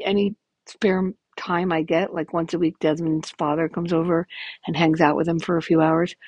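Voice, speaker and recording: A woman in her fifties speaks in a rough, gravelly, hoarse voice that sits a little low. She rambles candidly through a casual podcast update, dotted with ums. The sound is dry and close. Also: a little mellow, no room sound, dull audio. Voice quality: raspy, gravelly voice. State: hoarse from illness. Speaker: podcast host.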